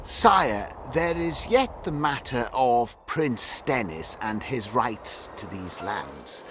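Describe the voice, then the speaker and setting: An actor playing an obsequious, greedy middle-aged count in a video game, speaking in a formal, deferential way. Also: male voice, mid-range voice, sing-song, slow pace, noisy background. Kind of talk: dramatic video game acting.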